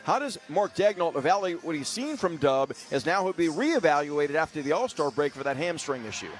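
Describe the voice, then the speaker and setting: A man's voice: on the high side, very animated, a little fast. A man hosting sports radio, delivering fluent, formal announcer commentary and wordy questions. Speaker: sports radio host and interviewer.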